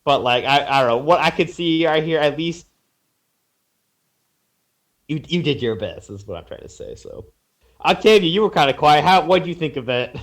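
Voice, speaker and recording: Man, slightly high voice, casual podcast chat, stammering, repeated starts, trailing sentences. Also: animated, normal speed, long pauses. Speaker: podcast panelist reviewing fan fiction.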